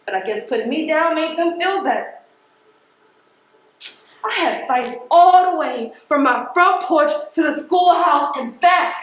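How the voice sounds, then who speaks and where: Actress playing defiant woman postal carrier, high-pitched, confrontational, colloquial, theatrical, heavily reverberant stage recording. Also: animated, measured pace. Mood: hurt, bitter. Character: defiant, embittered working-class woman postal carrier from the projects. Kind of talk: stage play acting.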